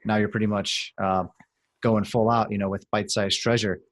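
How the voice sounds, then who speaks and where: A man hosting a podcast, conducting a casual interview in a halting, conversational manner. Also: on the low side, little pitch movement, no room sound, clean studio sound.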